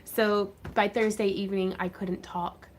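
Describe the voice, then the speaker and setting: A woman's voice: mid-range voice, little pitch movement, unhurried, slight background noise. A woman hosting a craft livestream, chatting casually and laughing often as she greets viewers. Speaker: craft channel host.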